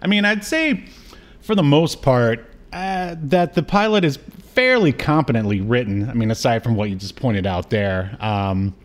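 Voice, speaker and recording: Male podcast co-host, mid-range pitch, casual review chat, somewhat hesitant and halting when recapping. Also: animated.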